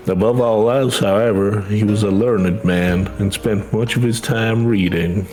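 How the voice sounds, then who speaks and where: Earnest older teacher from a video game , played by a man: low register, formal and measured, music underneath. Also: darkish tone. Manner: measured, formal storytelling delivery. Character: earnest older schoolteacher devoted to tradition. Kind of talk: reading video game dialogue aloud.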